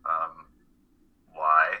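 A man's voice: rumbling low, completely flat delivery, drawn-out words, highs cut off, full character voice. A man acting a tired, subdued male college student in a dramatic reading, speaking hesitantly in short replies. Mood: weary, resigned. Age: young adult. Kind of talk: radio-play style dramatic reading.

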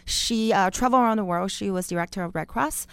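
A woman from China with a Chinese accent, telling personal stories as a chatty, animated interview guest. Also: mid-range voice, fast, creaky, smooth, no echo. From Beijing, China. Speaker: guest, chef.

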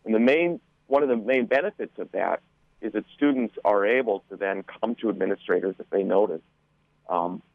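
Low-voiced man on a phone-like line. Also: no echo. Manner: halting, repeats words, careful phrasing. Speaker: school board member. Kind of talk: radio panel interview, explanatory. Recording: muffled narrow phone-like line.

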